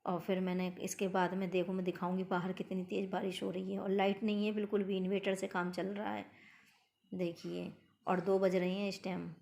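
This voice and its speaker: A middle-aged woman with a hoarse voice, chatting homely to her viewers as she narrates her daily routine. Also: mid-range voice, flat delivery, a little fast, a little mellow. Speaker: vlogger.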